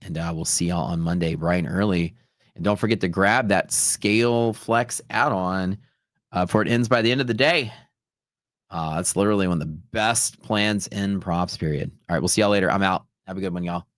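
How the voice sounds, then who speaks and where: A man with a deep voice chats casually as a livestream host. The sound is dry and close, and his delivery is loose, slangy and rambling, like off-the-cuff storytelling. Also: a little fast, no echo. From Texas, United States. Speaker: livestream host, futures trader.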